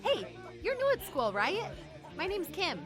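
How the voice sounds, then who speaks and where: An actress playing a brash, sociable young student who waits tables, in a casual, pushy, mocking way for a radio drama. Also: female voice, very high voice, huge pitch swings, a little slow, exaggerated voice. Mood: breezy, friendly. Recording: music behind the voice.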